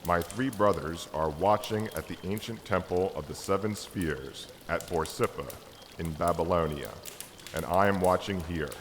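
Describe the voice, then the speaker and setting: Devout, hopeful Magus male reader, low-pitched, earnest and solemn, dramatic Christmas story reading, echoing hall. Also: moderate pace, close to an everyday voice. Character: Artaban, devout, hopeful Persian Magus and stargazer. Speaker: amateur church reader. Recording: echoey hall reverb with background noise.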